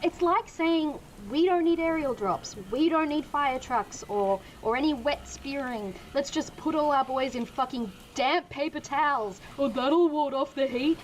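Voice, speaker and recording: Woman as fed-up veteran female hunter, high pitch, heated, sweary, sarcastic, audio drama, faint ambience. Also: unhurried, hardly any pauses, a little hiss or hum, low-quality recording. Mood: exasperated, furious. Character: exasperated, seasoned female cane toad hunter.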